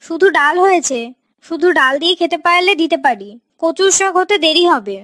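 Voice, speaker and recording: A woman's voice: extremely high pitch, very animated, brisk, pushed and bright, no room sound, clean studio sound, exaggerated voice. A woman voicing a quarrelsome, jealous young village wife in a cartoon, snappy and defiant. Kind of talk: cartoon character dialogue.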